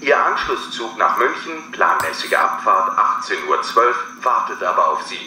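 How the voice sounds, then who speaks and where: Bright-voiced man making a train announcement. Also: lowish voice, big pitch swings, normal speed. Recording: very echoey with faint noise.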